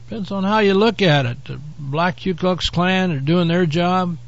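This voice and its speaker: A man hosting his own show, hoarse from a head cold, rambling through an offhand monologue with frequent fillers. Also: high-pitched, normal speed, highs cut off. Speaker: host. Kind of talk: casual talk-show monologue.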